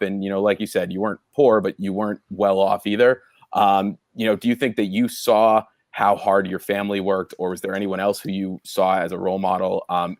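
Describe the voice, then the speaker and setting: Low-voiced man interviewing casually. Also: dry recording, studio quality. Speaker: podcast host, interviewer.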